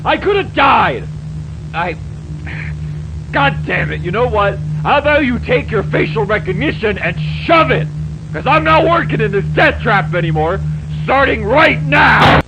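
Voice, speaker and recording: A man acts out a frantic, foul-mouthed night worker in a horror short. The voice is high and swings widely as he shouts bluntly, agitated and furious, through clear background noise. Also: very animated, unhurried, exaggerated voice. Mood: furious, indignant.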